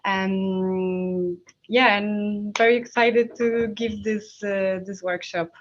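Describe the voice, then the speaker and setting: A woman with a Polish accent speaks as a workshop instructor, explaining things informally. Her delivery is slow and halting, with frequent hesitations between short phrases. The sound is slightly dull, like a live-stream recording. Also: mid-range voice, fairly even intonation, slight background noise. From Poland. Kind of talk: informal technical workshop presentation.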